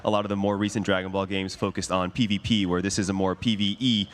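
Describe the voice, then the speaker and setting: A man's voice: low voice, level tone. A man presenting a game in an interview, rattling off points with many fillers. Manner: quick, filler-laden explanations. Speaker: game developer spokesperson and interpreter. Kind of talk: explaining game features in an interview.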